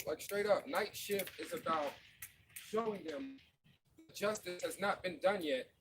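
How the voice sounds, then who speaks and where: A man's voice: high-pitched, a little hiss or hum, low-quality recording. Brief: man from Florida, raw fervent protest talk, faint street ambience behind. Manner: fervent, profane, slangy. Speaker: protest marcher. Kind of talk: impassioned street speech. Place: outdoors at a night street protest.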